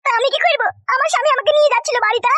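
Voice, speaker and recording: A woman's voice: very high voice, racing, bright, forceful tone, no echo. A woman voicing an indignant, foul-mouthed wife in a comic cartoon. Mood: pleading. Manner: scolding, threatening, heavy rustic swearing.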